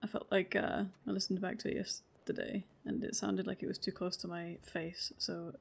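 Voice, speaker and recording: A woman streamer chatting casually while she plays, relaxed and self-deprecating, swearing now and then and laughing often, a deep voice at a desk mic set back a little. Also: normal speed, vocal fry. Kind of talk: casual livestream chat while playing. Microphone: desk mic moved a little further from her face.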